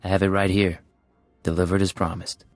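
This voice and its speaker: Actor as deep-voiced, confident secret agent. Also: male voice, little pitch movement, a little fast, no echo, soft background music, lightly acted. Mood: businesslike. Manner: terse, smooth, self-assured. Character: cool, confident male secret agent posing as a pirate. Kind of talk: video game voice acting.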